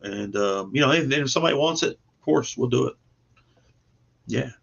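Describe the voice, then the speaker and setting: A man's voice: mid-range voice, lively intonation, normal speed, vocal fry, a bit bright, dull audio. A man speaking as a guest comic creator, chatting casually about his own project.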